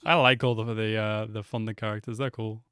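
A man's voice: fairly low pitch, steady tone, normal speed, bright, forceful tone, clear, resonant tone. A man in his twenties giving casual, slangy reaction commentary, swearing freely, close on a desk microphone. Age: 20s. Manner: slangy, laid-back, swearing. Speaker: reaction video host. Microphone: close desk microphone with headset. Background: game trailer audio with music.

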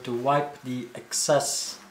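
A man's voice: medium pitch, level tone, loud music behind the voice. Man talking in an echoey workshop. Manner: halting, thinking aloud. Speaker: DIY video maker. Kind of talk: casual hands-on workshop tutorial narration. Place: indoor home workshop. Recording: echoey room, faint background noise.

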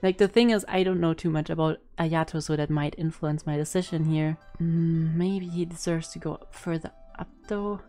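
A woman's voice: deep voice, fairly even intonation, normal speed, hardly any pauses, warm tone. A young woman giving casual reaction commentary as a streamer, informal and hesitant as she thinks aloud. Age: young adult. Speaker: reaction video host and streamer. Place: indoor room at home. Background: music.

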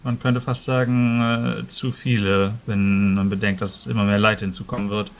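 A man playing a careful, concerned scholar-healer in a tabletop game, speaking formally with measured hesitation. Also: fairly low pitch, level tone, vocal fry, slight background noise. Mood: grave.

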